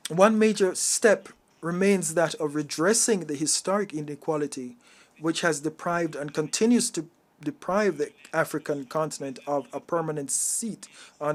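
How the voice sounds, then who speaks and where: Higher-pitched man interpreting a formal speech. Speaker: simultaneous interpreter.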